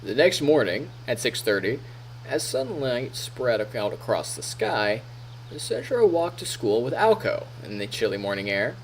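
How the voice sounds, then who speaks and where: A man's voice: mid-range voice, big pitch swings, measured pace, a little hiss or hum, low-quality recording. A male streamer reading a visual novel aloud expressively as narrator, on a close microphone. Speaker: live streamer. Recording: music faintly behind.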